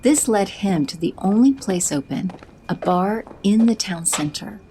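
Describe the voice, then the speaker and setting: A woman giving even, descriptive scripted narration for an audio drama. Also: medium pitch, measured pace, a little hiss or hum, rough recording.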